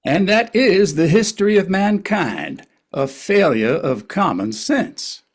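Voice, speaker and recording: A man's voice: sits high, big pitch swings, slow pace, full character voice. A man playing a wry, reflective older male writer and narrator in a table reading of a historical play, with a hesitant storytelling manner. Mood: resigned.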